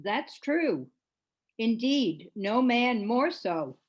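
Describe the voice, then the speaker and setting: Woman as slow, muffled, agreeable Victorian laundress. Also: big pitch swings, full character voice. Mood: agreeing. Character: meek, agreeable Victorian laundress, timidly judgmental. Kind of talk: dramatic reading of a classic story. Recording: dull, muffled, low-fidelity sound.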